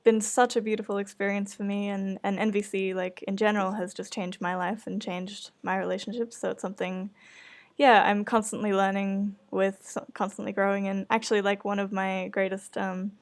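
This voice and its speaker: A woman's voice: mid-range voice, flat delivery, moderate pace. A woman podcast host chatting casually in an earnest, hesitant, self-conscious way. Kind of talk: casual chat.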